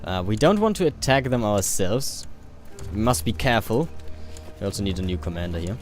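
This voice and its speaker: A man with a lowish voice commenting on a strategy game as he plays. He reads event text aloud and makes dry, offhand jokes, with faint music playing behind him. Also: lively intonation, brisk. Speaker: let's play host. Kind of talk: casual gameplay commentary.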